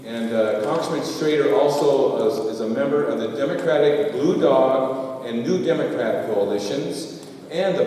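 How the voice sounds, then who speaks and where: Man reading a guest bio, mellow tone, far from the mic in a big room. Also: medium pitch, slight background noise. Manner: reads a bio with light asides. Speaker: event emcee introducing the guest. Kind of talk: reading a formal introduction. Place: large auditorium stage. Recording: echoey hall from far off.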